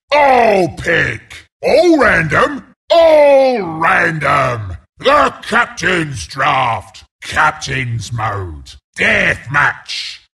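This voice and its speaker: An actor voicing a mean, cocky porcupine beast as a video game announcer, with a British accent, a gravelly rasp and a rowdy, taunting, blunt delivery. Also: male voice, on the high side, pushed and bright, exaggerated voice. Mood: scornful, gruff. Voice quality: gravelly, raspy. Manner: taunting, rowdy, blunt, mock-sympathetic asides.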